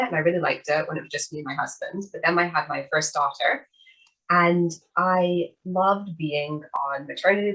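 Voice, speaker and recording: Low-voiced earnest woman in her thirties. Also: a bit bright, low-quality recording. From Canada. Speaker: guest author. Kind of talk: casual interview chat.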